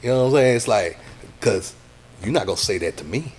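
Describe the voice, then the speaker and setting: A middle-aged man, a radio DJ talking casually in an interview, animated and acting out what other people say. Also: on the low side, a little fast, husky. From Texas, USA. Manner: animated, acts out quoted people, says "you know what I'm saying" a lot.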